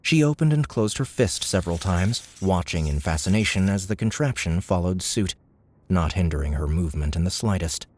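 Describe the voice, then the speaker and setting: A man's voice: low voice, animated, moderate pace, a little mellow. A man narrating scripted fiction as an audiobook narrator.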